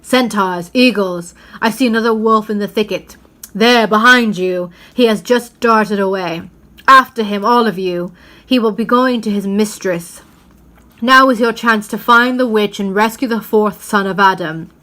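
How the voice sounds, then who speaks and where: A woman's voice: medium pitch, unhurried, sharp tone. A woman reading the part of a great male lion king in a storybook, giving solemn, imperious commands. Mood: urgent, commanding.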